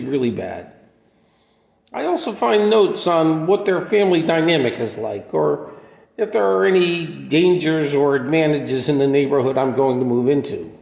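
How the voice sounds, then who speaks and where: Guy acting as a wistful older drifter in a radio play, kinda high creaky voice, halting and humble. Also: a little mellow. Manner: halting, plainspoken, reflective. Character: aging, modest, wistful drifting man who helps strangers. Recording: echoey hall-like reverb.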